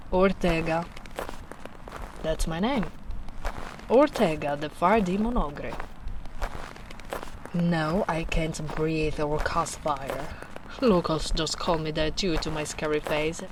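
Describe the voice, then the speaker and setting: A woman doing a gentle, slow-spoken big ogress. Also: low-pitched, background noise. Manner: soft-spoken, slow and soothing, with quiet breathy asides. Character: gentle towering female ogre, caring and protective. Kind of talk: soft-spoken ASMR roleplay.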